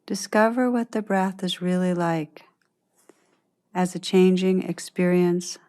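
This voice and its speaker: Slow, low-pitched woman guiding meditation. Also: fairly even intonation. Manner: gentle, unhurried, soothing instruction with long pauses. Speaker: meditation teacher guiding a practice. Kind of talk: guided meditation, scripted instruction.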